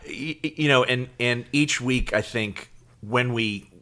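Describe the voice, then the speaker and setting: A middle-aged man co-hosting a podcast in casual chat, explaining things in a halting way with repeated words. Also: medium pitch, pushed and bright. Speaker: podcast co-host.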